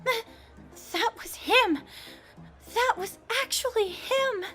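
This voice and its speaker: A super high bright teen fangirl, dramatic and gushy, audio drama with music under it. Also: slow, heavily acted. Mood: starstruck. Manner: gushing, dramatic, playful. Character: excitable, dramatic teenage fangirl best friend.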